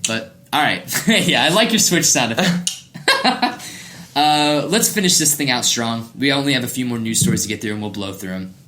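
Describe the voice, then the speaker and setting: A man's voice: mid-range voice, expressive, moderate pace, sharp tone. A man hosting a casual gaming podcast, chatting in an enthusiastic, opinionated way. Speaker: podcast host.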